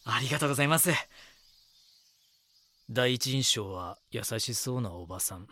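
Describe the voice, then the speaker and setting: A man's voice: medium pitch, lively intonation, moderate pace, sharp tone. A man playing an eager, dogged young magazine reporter in an audio drama, polite and deferential. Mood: reflective. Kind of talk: Japanese audio drama dialogue and narration.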